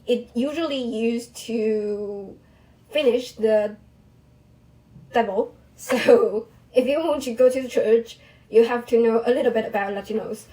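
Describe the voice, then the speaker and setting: A young woman whose first language is Mandarin Chinese, talking casually about her own experience in halting English with restarts. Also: fairly high pitch, warm tone, rough recording. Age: young adult. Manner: halting non-native English with restarts and small laughs. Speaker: vlogger. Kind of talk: casual personal monologue.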